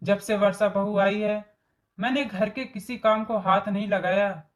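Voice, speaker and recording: A meek old mother-in-law in a storybook drama, performed by a woman. She speaks slowly, with a gentle, plaintive manner. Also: mid-range voice, fairly even intonation, natural voice. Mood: worried. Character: meek elderly mother-in-law, worried and remorseful.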